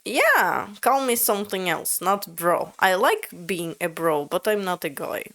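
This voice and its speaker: Slow-talking woman, expressive. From Latvia. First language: Latvian. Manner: expressive, sudden jumps up in pitch, candid. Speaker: vlogger. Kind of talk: casual vlog narration and chat.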